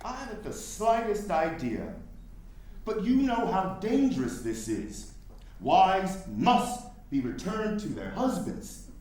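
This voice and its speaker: A man's voice: high-pitched, very animated, a little mellow, heavily acted. A man playing a wary, principled nineteenth-century abolitionist in a staged play reading, with formal, emphatic delivery. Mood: wary, weary. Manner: emphatic, deliberate, formal diction with dramatic pauses. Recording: very echoey room.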